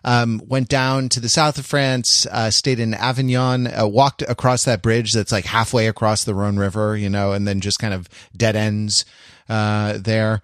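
A man hosting a podcast in casual chat, rambling and haltingly repeating his phrases as he goes. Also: fairly low pitch, little pitch movement, smooth, no room sound, clean studio sound. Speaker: podcast host.

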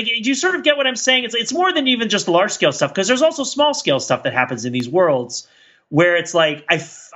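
A man's voice: high-pitched, bright, forceful tone, highs cut off. A man co-hosting a podcast in casual chat, rambling and thinking aloud as he riffs. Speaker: podcast co-host.

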